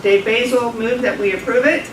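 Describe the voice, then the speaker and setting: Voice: mid-range voice, fairly even intonation, normal speed. Meeting chair: informal procedural delivery, roomy recording, picked up from a distance. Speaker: club officer chairing a vote. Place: club meeting room. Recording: very noisy echoey room, distant mic.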